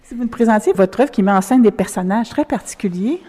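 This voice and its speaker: Woman radio host, enthusiastic and effusive, mid pitch, reverberant gallery room. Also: brisk, mellow. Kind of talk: on-site radio interview. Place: art gallery.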